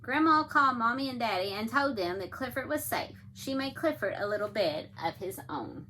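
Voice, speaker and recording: A woman reading picture books aloud to young children in an animated, engaging way, often addressing her listeners directly. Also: on the high side, moderate pace, slight background noise.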